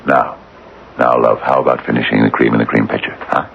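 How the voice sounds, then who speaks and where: A man's voice: extremely low pitch, flat delivery, a little fast, creaky. An actor playing a fussy, hesitant older father who dotes obsessively on his cat, in an old-time radio drama. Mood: doting. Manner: fussy, hesitant, fond murmuring. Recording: dull, noisy old radio recording.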